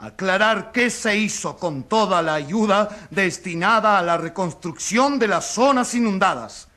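An actor playing an indignant crusading journalist in a radio courtroom drama, accusing a corrupt leader in impassioned, declamatory speech. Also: male voice, sits high, animated, a little slow, sharp tone, full character voice. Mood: earnest, accusing. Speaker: accuser in a trial. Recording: crowd clamour behind the voice.

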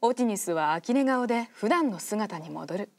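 A woman giving calm scripted narration for a radio drama. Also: medium pitch, normal speed.

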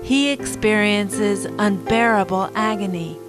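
A woman narrating a documentary program in a slow, gentle, measured scripted delivery. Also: medium pitch, over music, rough recording.

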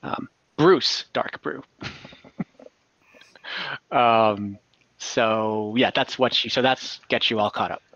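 A man narrating an online tabletop game as game master, with a halting, hesitant delivery. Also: lowish voice, pushed and bright, no echo. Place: indoor room, video call. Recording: muffled, dry video call audio.

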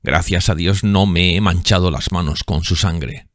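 A man's voice: low-pitched, steady tone, unhurried, no room sound, clean studio sound, pitched above the performer's own voice. A man voicing an enraged sailor hunting his sister's seducer, speaking in a solemn, threatening way. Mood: bitter. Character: enraged male sailor, vengeful, grim.

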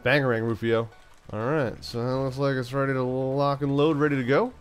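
A man hosting a gaming channel, chatting playfully through a let's-play, stuffed up from a cold and now and then yelling out excited bursts. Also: mid-range voice, a little fast, clean tone, faint music behind. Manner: playful, talks to himself, yells bursts like "send it". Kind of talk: casual let's-play commentary.

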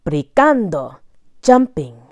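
A woman reading a children's picture book aloud in a bilingual read-aloud style, expressively and deliberately, phrase by phrase. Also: on the low side, sing-song, no echo, clean studio sound.

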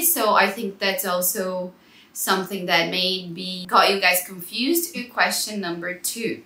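Casting: woman, twenties, Brazilian accent, bright crisp-edged voice, informal vlog chat, roomy indoor sound. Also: medium pitch. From Brazil, São Paulo. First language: Portuguese. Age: 20s. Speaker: travel vlogger. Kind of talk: casual chat to camera, answering questions. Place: small living room indoors. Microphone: clip-on lapel mic.

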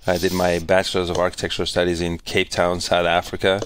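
A man's voice: low-pitched, monotone, quick pace, a bit bright, slight background noise. A man from Chilean Patagonia talking about his life as a guest architect, hesitant and full of fillers. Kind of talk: casual interview.

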